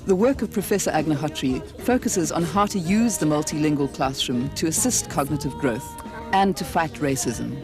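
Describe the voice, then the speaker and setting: A narrator reading a formal documentary script in an even, steady delivery. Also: mid-range voice, normal speed. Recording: narration over loud music. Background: music.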